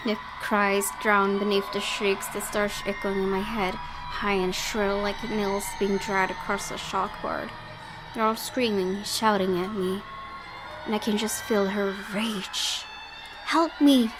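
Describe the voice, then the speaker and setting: A woman with an expressive, excitable delivery, reading a horror visual novel aloud as a let's play host and reacting to it. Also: medium pitch, measured pace, rough recording. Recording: loud game music behind voice. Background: loud game music.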